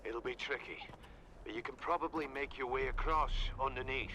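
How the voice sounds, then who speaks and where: A man's voice: mid-range voice, moderate pace, slight background noise, close to an everyday voice. An actor playing a protective older male mentor who gives urgent guidance in a video game. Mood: steady reassurance. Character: Conrad Roth, gruff protective older mentor over radio. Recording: loud game music behind. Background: loud music.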